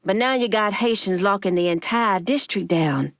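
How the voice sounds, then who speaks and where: A stern Haitian woman crime boss from a video game, acted by a woman, recorded dry. Her voice sits at a medium pitch. She is proud and shrewd, with a commanding, deliberate, street-tough delivery. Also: no echo, lightly acted. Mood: firm, menacing. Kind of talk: video game character dialogue.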